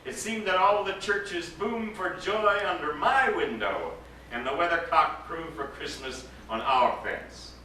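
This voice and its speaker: A man, an actor, giving an expressive, dramatic reading of a literary story aloud. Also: a little high, unhurried. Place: indoor live reading to a gathering. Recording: echoey room, distant mic, background noise.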